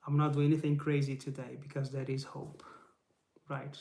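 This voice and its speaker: A man's voice: mid-range voice, level tone, normal speed, creaky, a little mellow, reverberant. A man whose first language is Portuguese, speaking in English to camera on his channel, earnest and encouraging, asking rhetorical questions and often adding "right?". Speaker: YouTube channel host. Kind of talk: casual live motivational talk to camera. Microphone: camera mic.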